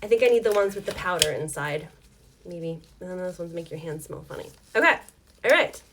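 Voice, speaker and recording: A woman's voice: fairly low pitch, expressive, a little fast, a bit bright, slight background noise. A woman hosting a casual livestream tutorial from her home desk, chatting in a rambling, apologetic way with many ums while losing her voice. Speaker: dice maker hosting a live tutorial.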